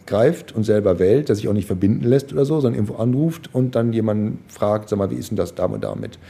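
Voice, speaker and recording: A man's voice: on the low side, sing-song, quick pace, vocal fry, mellow, husky. A man giving spontaneous commentary as an interviewee.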